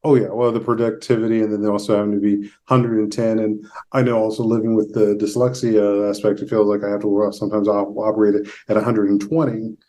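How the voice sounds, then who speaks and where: A man's voice: lowish voice, level tone, quick pace, hardly any pauses, mellow. A man hosting a podcast in a casual interview style. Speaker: podcast host.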